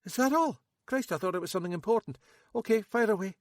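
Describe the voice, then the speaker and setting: A jittery young Scottish man, voiced by a male audiobook reader pitches high and pours out his words in a rush, loud and self-pitying. His voice swings wildly up and down. The sound is dry and close. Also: fast, dry recording. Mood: nervous. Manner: excitable, rambling, self-pitying.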